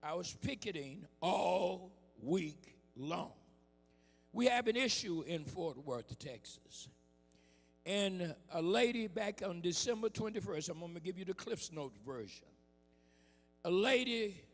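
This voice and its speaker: A hoarse man preaches in a slow, impassioned style, pushing the congregation to answer him back. His high voice is gravelly and rough. He sounds like he is in a big church hall, with faint music underneath. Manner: preaching with call-and-response and shouted emphasis. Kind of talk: impassioned sermon.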